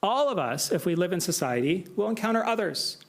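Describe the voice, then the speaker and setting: American man, academic speaker at a symposium; animated delivery, slightly raised pitch, measured explaining tone. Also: gravelly. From United States. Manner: measured, explanatory, with asides and filler "right?". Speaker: lecturer. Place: lecture hall.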